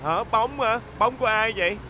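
A man's voice: sits high, normal speed, sharp tone, a little hiss or hum. A man voicing a possessive, fussy talking steamroller in a children's cartoon dub, exclaiming in a whiny, indignant way. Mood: puzzled, disdainful. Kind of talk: dubbed children's cartoon acting.

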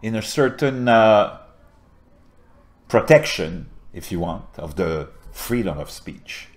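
A deep-voiced man in his fifties with a French Canadian accent, speaking English as a second language in an earnest commentary to camera. His delivery drops to low murmurs and then rises into loud, emphatic bursts. He is recorded close to a desk camera in a small home setup. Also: animated, slow pace. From Montreal. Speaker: political video host. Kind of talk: impassioned political commentary to camera. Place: small indoor home setup with a virtual backdrop.

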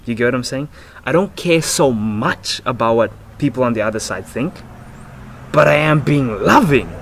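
This is a young man in his twenties with a mid-range voice. He talks in a loose, excitable ramble full of slang, like a casual vlogger. The sound is a slightly noisy laptop microphone out on a porch. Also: mid-range voice, moderate pace, creaky. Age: 20s. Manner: excitable, slangy, swearing, breaks into giggles. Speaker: self-improvement vlogger. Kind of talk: casual chat, rambling monologue. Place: outdoors on a house porch. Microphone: laptop built-in microphone at arm's length.

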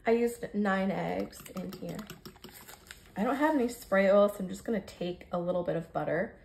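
An American woman in her thirties talks casually through home cooking. Her mid-pitched voice has a lot of fry in it. She explains each step in a chatty, informal way. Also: darkish tone, a little hiss or hum. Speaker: home cook vlogger. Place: home kitchen, indoors.

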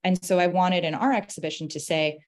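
A woman's voice: on the low side, fairly quick. A woman in her thirties speaking as a curator in a panel discussion, articulate and explanatory, often checking in with "right?". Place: indoor room on a video call.

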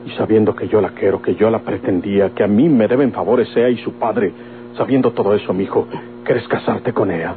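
Male actor as possessive older rural Mexican father, pleading yet commanding, low register, humming narrow-band vintage radio. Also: a little fast, close to an everyday voice. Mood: wounded, reproachful. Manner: pleading and commanding, rustic speech. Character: jealous, possessive aging father in prison, rural outlaw. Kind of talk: old-time Mexican radio drama acting. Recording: old tinny radio recording with hum.

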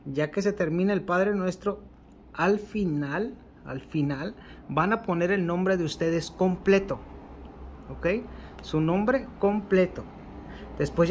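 A man with a Mexican accent giving a spoken tutorial on a ritual, unhurried and repetitive, ending phrases with a questioning "ok", recorded indoors at a table with a camera pointed at his hands. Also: high-pitched, a little hiss or hum. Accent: Mexican Spanish. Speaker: occult ritual instructor. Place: indoors at a table covered with candles and ritual objects.

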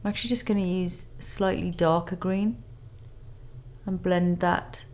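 A woman in her thirties with a cold and a nasal voice, calmly talking through a makeup tutorial step by step in a studio. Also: fairly low pitch, unhurried. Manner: calm step-by-step instruction while applying makeup. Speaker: makeup artist. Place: indoor studio with plain grey backdrop.

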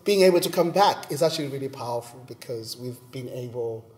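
A playwright in his forties, originally from Zimbabwe, explains his play in a measured, reflective way. He talks with animation, his voice at an even middle pitch. He is recorded in a large rehearsal room from a seated panel. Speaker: playwright and performer. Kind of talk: reflective conversation.

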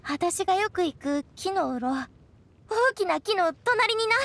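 A woman's voice: very high-pitched, expressive, measured pace, a bit bright, no room sound, full character voice. A woman voicing an enthusiastic, modest teenage girl ranger in a Japanese video game, with boyish, wide-eyed phrasing. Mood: excited, admiring. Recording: faint music behind the voice.

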